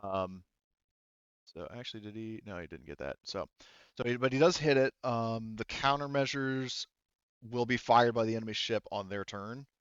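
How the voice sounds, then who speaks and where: A man's voice: mid-range voice, normal speed, fairly bright tone, clear, resonant tone, no room sound, lo-fi sound. A man acting as game master in a tabletop roleplaying game, talking informally and thinking aloud with casual swearing. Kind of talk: running an online tabletop roleplaying game, rules talk.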